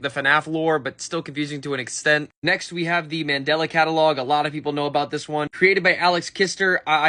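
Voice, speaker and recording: Casual man with a higher-pitched voice. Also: pushed and bright. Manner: informal, conversational, hedging asides. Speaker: YouTube presenter. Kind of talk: casual video list commentary.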